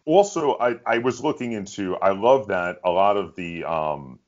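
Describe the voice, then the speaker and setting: A man's voice: lowish voice, moderate pace, a little mellow. A man hosting a casual interview, asking questions and commenting conversationally. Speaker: interviewer, host. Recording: dull, lo-fi audio.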